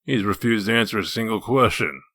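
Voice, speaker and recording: A gruff comic-dub detective acted by a man, with a very low voice and a forceful edge. He is blunt, confrontational and sardonic, a wolf in human shape. The sound is dry and close. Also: a little fast, no echo.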